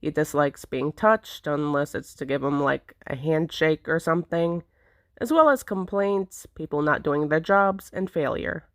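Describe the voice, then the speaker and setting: A woman's voice: low voice, unhurried, no room sound, clean studio sound. A woman video creator giving a semi-scripted, explanatory vlog narration.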